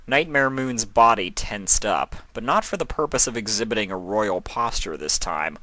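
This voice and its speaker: A man's voice: on the low side, expressive, moderate pace, sharp tone, no echo. A man reading scripted story narration as the narrator of a tale.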